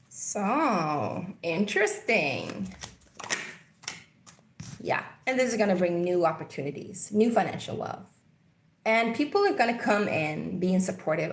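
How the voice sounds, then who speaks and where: A woman's voice: on the low side, vocal fry, roomy sound, a little hiss or hum. A woman with a Swedish accent gives a casual tarot reading. She meanders through her intuitions and repeats key phrases. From Sweden. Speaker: tarot reader.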